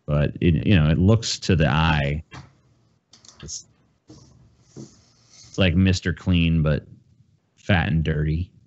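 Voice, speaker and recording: A man's voice: extremely low pitch, steady tone, slow, pauses often, darkish tone, highs cut off. A man co-hosting a podcast with crude, profane, joking banter in casual talk. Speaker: podcast co-host.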